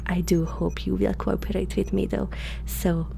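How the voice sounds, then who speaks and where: A woman voicing a curious, eager and polite alien scientist in an ASMR roleplay, speaking softly, formally and a little hesitantly. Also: mid-range voice, little pitch movement, normal speed, vocal fry, a little hiss or hum. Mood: eager, excited. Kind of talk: ASMR roleplay addressed to the listener.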